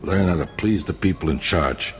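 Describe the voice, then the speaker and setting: An actor playing a weary, streetwise ex-gangster who talks colloquially in a video game cutscene. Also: male voice, deep voice, moderate pace, soft background music, close to an everyday voice. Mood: cautionary. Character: weary ex-gangster man, cautious streetwise older brother.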